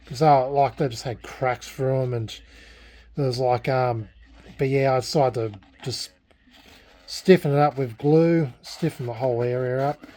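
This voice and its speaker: A man's voice: mid-range voice, fairly even intonation, a little slow, dark tone. An Australian man chatting casually in a vlog, rambling and self-deprecating as he talks in a blunt way. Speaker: vlogger restoring a vintage radio.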